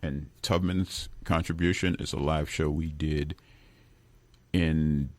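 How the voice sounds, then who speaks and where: Man, very deep voice, dry close studio sound, rambling radio guest. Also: fairly even intonation, takes it slowly, a little mellow, no echo. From Brooklyn, New York. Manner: rambling, self-correcting, chuckles now and then. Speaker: guest musician. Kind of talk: relaxed radio interview talk.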